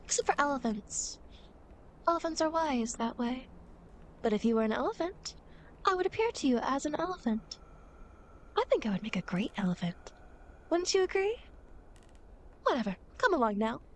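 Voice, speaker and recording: A woman's voice: sits high, a little hiss or hum. A woman playing a playful, teasing demon girl who guides dead souls, in a coy, dramatic ASMR roleplay. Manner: coy, mischievous, mock-sympathetic, dramatic. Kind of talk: ASMR roleplay, acted monologue to the listener.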